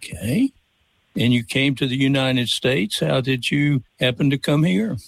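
A man's voice: mid-range voice, unhurried, creaky, no room sound. A man hosting a college radio interview show in a studio, friendly and welcoming.

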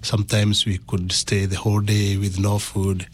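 A man with a Kenyan accent giving a personal testimony as an interview guest, in halting, deliberate phrases. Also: lowish voice, completely flat delivery, a little hiss or hum.

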